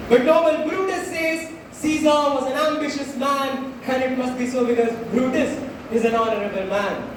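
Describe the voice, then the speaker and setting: A young man playing a grieving, slyly persuasive Roman orator in a school stage play, declaiming with emphatic pauses. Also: very high voice. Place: school stage, recorded from audience distance. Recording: echoey hall, distant mic, noisy.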